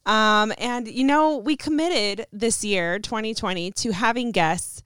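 A woman's voice: fairly high pitch, unhurried, a bit bright, clean tone, no room sound. A woman co-hosting a podcast in casual chat, giggly and chatty, full of "um" and "like". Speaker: podcast co-host.

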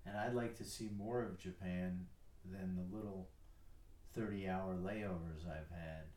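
This is a retired older man with a deep, low voice, chatting in an easy, self-deprecating way. He sits back from the mic, and the room echoes around him. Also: steady tone, measured pace, a little mellow, far from the mic. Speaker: guest, retired airline pilot. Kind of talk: casual chat.

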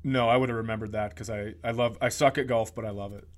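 A man's voice: on the low side, fairly even intonation, fast talker. A man co-hosting a podcast in casual joking chat, sarcastic and blunt, swearing freely. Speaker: podcast co-host.

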